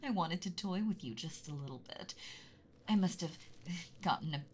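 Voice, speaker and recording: A woman voicing a gentle, motherly giant female dragon in a whispered roleplay, speaking in a soft, breathy, doting way. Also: sits low, expressive, moderate pace, slight background noise. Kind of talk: whispered roleplay audio, ASMR.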